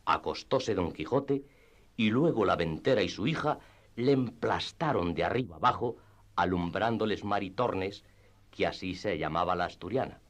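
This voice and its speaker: A man narrating a classic literary tale for radio theatre in a measured, formal storytelling manner. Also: low-pitched, animated.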